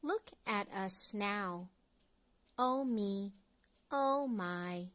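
A middle-aged woman hosts a read-along for young children. She reads very slowly and deliberately, in short separate phrases, at an ordinary pitch for a woman. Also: a bit bright. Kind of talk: read-aloud of an early reader picture book for young children.

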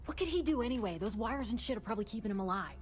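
A woman's voice: fairly high pitch, lively intonation, very fast, lightly acted. A woman voicing a fierce, hot-tempered young gangster in a video game, snappy and combative. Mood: irritated. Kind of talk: video game cutscene acting.

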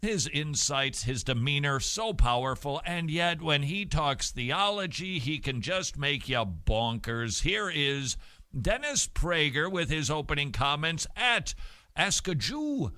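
A middle-aged man hosting a talk show from a small studio, speaking with emphatic, joking energy into a boom-arm studio mic. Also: big pitch swings, slow pace, sharp tone, no echo. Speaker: radio and TV show host. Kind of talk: talk show commentary with Bible reading. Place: small dim studio set.

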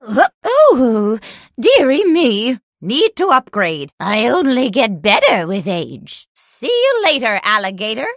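A woman voicing an eccentric old granny witch in a video game, theatrical, doting and teasing with drawn-out exclamations. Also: on the high side, huge pitch swings, dry recording, clean studio sound, full character voice. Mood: cheeky, doting. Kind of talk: video game character voice lines.

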